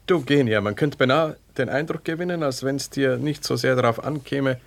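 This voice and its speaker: A man's voice: medium pitch, moderate pace, gravelly, natural voice. An Austrian man playing a jealous, sardonic husband in a conversational 1970s radio drama style, probing and ironic. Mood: casual. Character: jealous husband, sardonic, smooth-talking, needling his wife.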